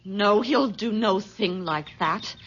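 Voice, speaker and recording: An actress playing a defiant, pleading young settler daughter with earnest, archaic speech in an old-time radio drama. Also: female voice, medium pitch, takes it slowly, a bit bright. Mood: desperate pleading. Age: young adult. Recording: dull, noisy vintage radio recording.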